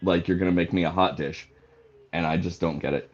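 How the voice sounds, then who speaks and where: Male guest, very deep and husky, blunt, dry humor, dull lo-fi call audio. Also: fairly even intonation, brisk. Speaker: podcast guest. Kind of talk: casual banter among reptile keepers.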